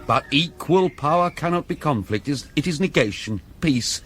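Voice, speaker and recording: A man's voice: on the high side, expressive, normal speed, a bit bright, faint music behind. A British man playing an ardent, defiant churchman in a radio drama, earnest and impassioned. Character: ardent, defiant churchman, self-confessedly weak.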